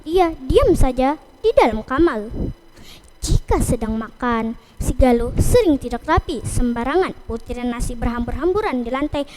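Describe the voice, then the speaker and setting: A woman telling a children's folktale in a deliberate, phrase-by-phrase way. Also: high voice, expressive, a little mellow, rough recording. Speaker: storyteller. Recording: noticeable background noise.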